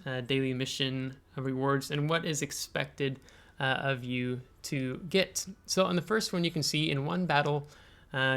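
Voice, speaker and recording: American guy doing a game tutorial, smooth clear voice, medium pitch, kinda halting with lots of ums. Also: pushed and bright. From United States. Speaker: video game tutorial host. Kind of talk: explanatory game tutorial, semi-scripted screen walkthrough.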